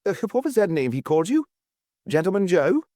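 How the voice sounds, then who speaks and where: Giggly young lady tourist, a man's falsetto. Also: sing-song, brisk, dark tone, no room sound, studio quality. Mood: gushing.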